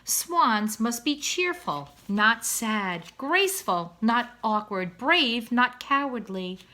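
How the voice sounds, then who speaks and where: A woman's voice: a little high, expressive, slow pace, pushed and bright, pitched above the performer's own voice, heavily acted. A woman voicing a wordy father swan from a children's storybook, kindly and encouraging, speaking in a formal, emphatic, oratorical way. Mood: earnest, lecturing. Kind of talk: children's storybook read-aloud.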